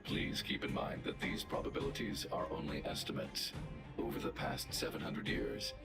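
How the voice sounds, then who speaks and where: An actor playing a huge, logical male robot guardian in a video game, with a flat, precise, mechanical delivery. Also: normal speed, lightly acted. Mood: calm, clinical. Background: game music.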